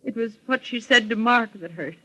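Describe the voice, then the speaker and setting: A woman's voice: on the high side, moderate pace, bright, forceful tone, low-quality recording. An actress playing an anxious, genteel and aggrieved woman in a 1940s radio melodrama, earnest and dramatic.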